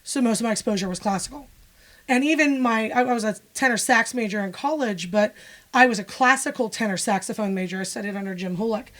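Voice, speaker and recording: A woman's voice: a little high, quick pace, a bit bright. A woman from Pennsylvania, a musician and music teacher, speaking frankly and wryly in a casual interview. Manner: frank, matter-of-fact, wry.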